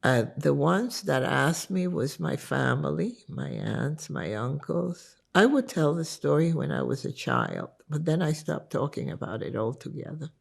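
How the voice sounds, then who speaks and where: An older woman, Lithuanian-born with Yiddish as her first language, tells her life story slowly and thoughtfully in an interview. Her voice is deeply gravelly and husky, and she speaks at a very leisurely pace. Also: sing-song. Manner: reflective, unhurried storytelling. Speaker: interviewee giving testimony.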